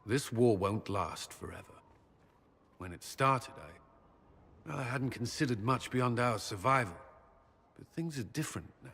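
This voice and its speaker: An actor playing an earnest, haunted military commander and former knight who is tender in love, in a video game cutscene. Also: male voice, medium pitch, animated, a bit bright. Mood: hesitant, tender. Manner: halting and hesitant when speaking personally. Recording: long reverb, background noise.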